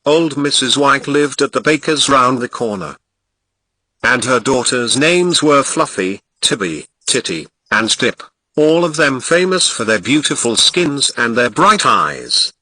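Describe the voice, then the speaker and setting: Man, Ghanaian accent, storybook narration, very bright sharp tone, lively swinging pitch, dry close sound. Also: measured pace, dry recording, rough recording. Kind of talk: storybook reading.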